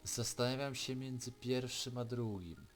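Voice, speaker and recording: A man's voice: medium pitch, moderate pace. A man with a Polish accent giving casual game commentary, musing and thinking aloud as he plays. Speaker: gaming video host. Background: faint game music.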